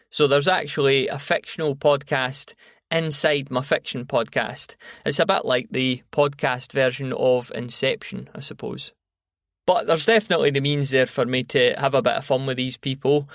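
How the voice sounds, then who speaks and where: A man's voice: mid-range voice, a bit bright, dry recording, studio quality. A man with a Scottish accent giving an informal, dryly humorous voice message as a podcaster.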